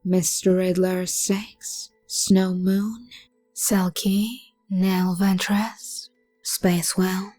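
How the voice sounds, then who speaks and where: A woman hosting an ASMR channel, giving thanks in a soft, whispery, breathy outro voice. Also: mid-range voice, little pitch movement, drawn-out words, faint music behind.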